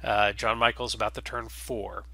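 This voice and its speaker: A man gives a casual spoken introduction as host. His voice is strikingly bright and forward, and he moves along haltingly, dropping in uh after uh. The sound is dry and close, with very little room on it. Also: lowish voice, level tone, creaky, dry recording. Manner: halting, with very frequent uhs. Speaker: host and game creator.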